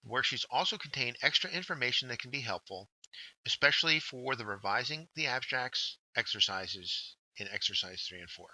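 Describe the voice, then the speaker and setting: A man narrating a scripted instructional workshop, explaining step by step with deliberate emphasis on key words. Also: mid-range voice, animated, pushed and bright, no echo, rough recording. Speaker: workshop instructor, journal editor.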